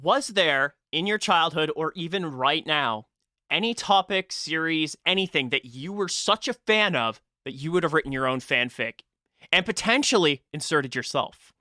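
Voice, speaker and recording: A woman hosting a casual podcast chat, animated and theatrically enthusiastic. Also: low voice, moderate pace, no echo, clean studio sound. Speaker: podcast host.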